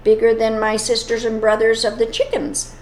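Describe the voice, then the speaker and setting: A woman voicing a young female eagle who speaks softly and hesitantly. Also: on the high side, level tone, normal speed, a little hiss or hum, lightly acted. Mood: unsure. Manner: hesitant, soft. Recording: echoey room.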